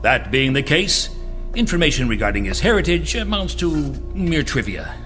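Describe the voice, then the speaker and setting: An actor playing the solemn, authoritative leader of a secret project in an audio drama, formal and deliberate. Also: male voice, a little high, very animated, fairly bright tone. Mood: commanding. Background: faint music.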